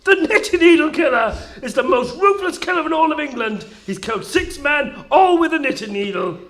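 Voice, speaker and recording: A man playing a pompous, excitable judge in a comedic radio sketch, bossy and theatrical. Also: very high voice, moderate pace, exaggerated voice. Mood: dramatic. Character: pompous, excitable courtroom judge with daft outbursts.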